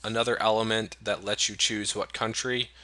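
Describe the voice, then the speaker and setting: A man from the US narrates a software tutorial into a close desktop mic. His voice sits somewhat low yet sounds very bright, and the recording is dry with no room sound. He explains casually, stopping for ums and restarting his sentences. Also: normal speed, dry recording. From United States. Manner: relaxed and explanatory, many "um"s and restarts. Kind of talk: screen-recorded software walkthrough.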